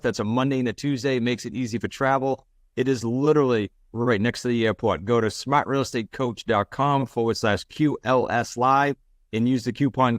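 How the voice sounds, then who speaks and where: A man's voice: medium pitch, no room sound, studio quality. A man hosting a podcast, informal and emphatic, repeating words for stress. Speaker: podcast host. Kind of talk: upbeat podcast intro and interview chat.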